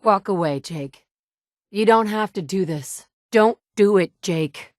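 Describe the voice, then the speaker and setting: A woman's voice: mid-range voice, takes it slowly, no room sound, lightly acted. A woman voicing the player character in a video game, a capable survivor who talks in a direct, firm way. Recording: dry studio recording.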